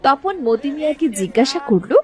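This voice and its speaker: A woman's voice: sits high, sing-song, brisk, a little mellow, a faint crowd. A woman narrating a cartoon story in a scripted storytelling style.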